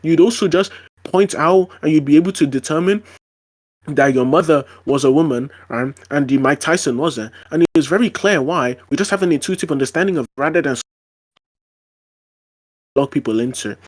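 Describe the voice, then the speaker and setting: A man's voice: fairly high pitch, moderate pace. A man in a casual online debate, arguing his point with frequent fillers and restarts. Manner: argumentative, lots of fillers and restarts.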